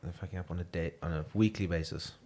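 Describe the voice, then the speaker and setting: A man's voice: low voice, steady tone, quick pace, warm tone. A man from South Africa, a comedian co-hosting a podcast, teasing the others in casual banter and laughing a lot.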